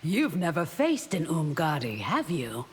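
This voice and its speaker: An actress voicing a fierce, devout and loyal warrior bodyguard in a fighting game, speaking formally, proud and defiant. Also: female voice, sits low, wildly swooping pitch, measured pace, breathy edge, full character voice. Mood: proud, composed. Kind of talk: video game fight intro dialogue. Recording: reverberant hall, noisy game backdrop.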